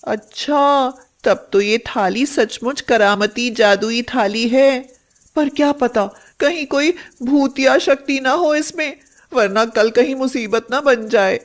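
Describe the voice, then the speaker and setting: A woman voicing a poor, caring village mother who speaks earnestly and expressively in an animated moral story. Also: fairly high pitch, slow, voice pushed up. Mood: curious, wary.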